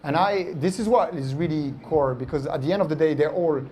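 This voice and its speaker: A man from France with a French accent, a company founder answering interview questions in an animated way, often tagging "right?" and "like". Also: fairly high pitch, fairly quick, a little hiss or hum.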